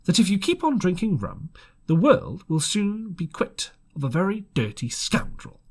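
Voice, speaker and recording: Fearless doctor and magistrate played by a male narrator: raised pitch, formal, stern, emphatic storybook delivery. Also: big pitch swings, moderate pace, breathy edge, heavily acted. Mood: scornful. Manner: high, firm, emphatic, formal.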